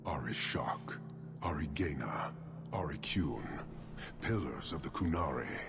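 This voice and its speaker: A man playing a stern, contemptuous giant horned warrior commander in a video game, speaking in measured, formal pronouncements with a gravelly voice. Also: rumbling low, animated, exaggerated voice. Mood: stern, lecturing. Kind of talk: video game cutscene acting.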